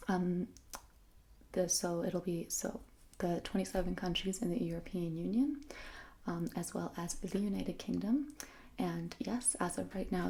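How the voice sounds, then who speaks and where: A young woman explaining a topic in a soft, mostly whispered ASMR style, rambling with fillers and self-corrections. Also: fairly low pitch, creaky. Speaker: vlogger.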